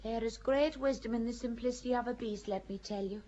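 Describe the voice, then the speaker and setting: Actress as high-voiced bold young peasant maid. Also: female voice, brisk, dark tone, lo-fi sound, slight background noise, lightly acted. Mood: defiant, pert. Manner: plain-spoken, earnest, emotional. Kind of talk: audio drama acting of a stage play.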